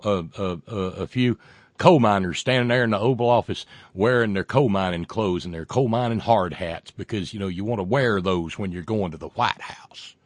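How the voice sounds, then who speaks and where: A man hosting a show, reading viewer comments and delivering sarcastic political commentary that swings from loud rants to muttered asides, with frequent fillers and profanity. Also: low-pitched, dry recording. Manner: mocking, builds into loud rants then drops to muttering; profane, many fillers and restarts.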